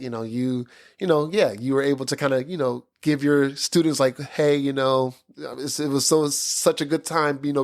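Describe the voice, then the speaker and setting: Rambling man host full of fillers. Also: medium pitch. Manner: rambling, heavy fillers like "you know" and "like", laughs often. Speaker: podcast host. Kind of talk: casual interview chat.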